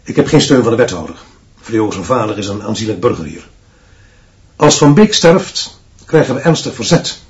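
An actor in a radio drama playing a merciless, authoritarian school director who speaks in clipped pronouncements. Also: male voice, lowish voice, big pitch swings, normal speed, dull audio. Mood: grave, emphatic. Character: stern, dictatorial male headmaster, unbending and merciless. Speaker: school director addressing his teaching staff.

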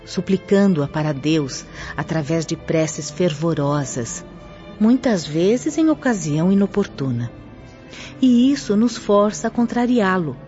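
A woman's voice: lowish voice, dark tone. An actress playing a composed female spirit guide in a radio drama, explaining things formally. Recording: dull lo-fi audio. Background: background music.

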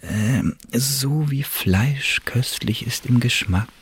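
An actor playing a wary, brooding male secret agent in a radio drama, telling his story in a confiding, dramatic way with a husky, breathy voice. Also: medium pitch, slow pace. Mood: seductive, intimate. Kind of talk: German radio drama monologue, intimate storytelling.

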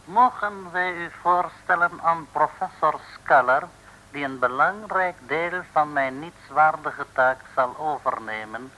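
An actor playing a sly, mock-humble Chinese villain who speaks ceremonious broken Dutch in an old radio serial. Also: male voice, a little high, slow pace, bright, forceful tone. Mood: mock-courteous. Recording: noisy old radio recording.

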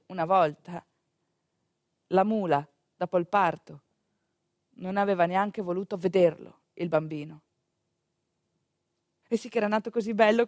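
A woman actress telling a story as a theatrical monologue, expressive, colloquial and confiding, with a northeastern Italian accent. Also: mid-range voice, dry recording, clean studio sound.

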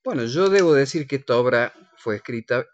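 A man's voice: medium pitch, lively intonation, brisk, lo-fi sound. A man hosting a casual live radio interview, teasing playfully and speaking straight to the listeners. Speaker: radio host.

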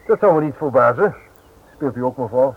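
Courteous gentleman, animated pitch. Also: fairly quick, husky, narrow, tinny audio. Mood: polite. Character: courteous, guarded professional gentleman. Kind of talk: radio drama acting. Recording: thin, hissy old radio recording.

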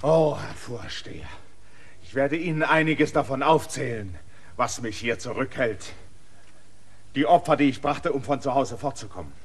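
An actor playing a proud, defiant land surveyor in a radio play, indignant and prone to angry outbursts. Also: male voice, medium pitch, animated, normal speed. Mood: pleading. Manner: indignant, bursts into angry shouting. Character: proud defiant male land surveyor demanding his rights.

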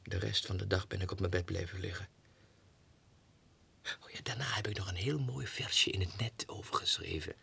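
An actor playing a lovesick, deluded clerk sliding into madness, in a theatrical radio monologue that shifts into breathy whispers. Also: male voice, low-pitched, normal speed, pauses often, pushed and bright, heavily acted. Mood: brooding. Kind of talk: 1960s radio drama monologue, diary read aloud. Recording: 1960s radio recording with music.

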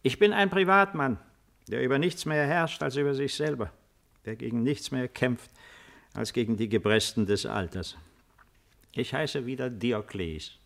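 Aged ex-emperor for vintage radio drama, gravelly and expressive, wryly authoritative and weary. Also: male voice, medium pitch, moderate pace. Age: older. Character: old retired Roman emperor, weary, dryly commanding. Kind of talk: 1950s German radio drama acting.

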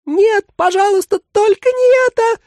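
A man's voice: unhurried, a little mellow, no echo, studio quality. A man voicing a frightened living mannequin in a thin, childish, pleading voice for a story. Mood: shrieking panic. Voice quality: thin, shrill squeal. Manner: pleading, wailing. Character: terrified living lilac mannequin with tiny childish voice.

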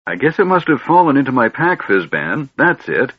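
A man's voice: medium pitch, big pitch swings, moderate pace, breathy edge, voice pushed up. Man doing a chirpy eager little kender. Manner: bright, chatty and eager. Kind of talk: audiobook character voice. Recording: dull, slightly lo-fi recording.

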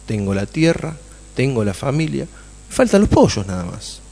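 An excitable Argentine farmer in a radio drama, full of big dreams. His colloquial speech swings widely in pitch, and a long reverb surrounds the voice. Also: normal speed, creaky. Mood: musing, determined. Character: Germán, enthusiastic dreamy male farmer from a farming family. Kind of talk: radio play acting.

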